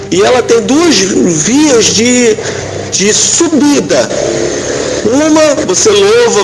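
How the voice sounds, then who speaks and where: A man giving informal religious teaching as a rabbi, halting and didactic, often checking that the listener follows. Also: high voice. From Israel. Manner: didactic, halting, often seeks agreement with tags. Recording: clearly noisy, vehicle-like background sound.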